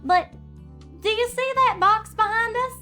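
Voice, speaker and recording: A woman's voice: extremely high pitch, a little slow, bright, forceful tone, soft background music, pitched above the performer's own voice, heavily acted. A woman voicing a young country filly, eager and earnest, with folksy turns of phrase.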